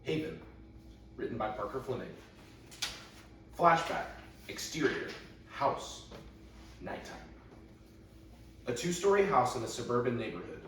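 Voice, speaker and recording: A man's voice: medium pitch, sing-song, measured pace, pauses often, a bit bright. A young man at a table read, casually reading stage directions aloud as an amateur. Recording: echoey room, distant mic, faint noise.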